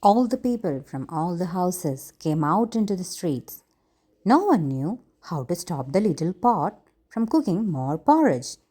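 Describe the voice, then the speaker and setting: A woman reading a children's fairy tale aloud in a deliberate way for young listeners. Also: on the low side, big pitch swings, warm tone, husky. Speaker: storyteller.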